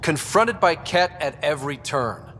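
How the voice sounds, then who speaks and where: An actor playing a young, earnest and easygoing space explorer leader in a video game. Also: male voice, on the high side, lively intonation, unhurried, fairly bright tone, a little hiss or hum. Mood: confident, upbeat. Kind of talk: video game dramatic dialogue.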